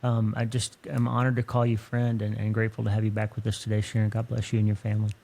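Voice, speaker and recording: A man hosting a podcast in a conversational, earnest, effusive and folksy way, with a creaky voice. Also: on the low side, monotone, quick pace, darkish tone. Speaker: podcast host. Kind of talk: conversational interview and podcast talk.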